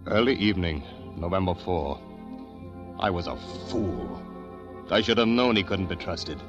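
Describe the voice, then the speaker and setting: An actor playing a lovesick, anxious young man who pleads haltingly in the emotional style of 1940s radio drama. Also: deep voice, lively intonation, dull audio. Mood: dread. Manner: halting, emotional, repeats himself when agitated. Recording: old radio recording, faint music.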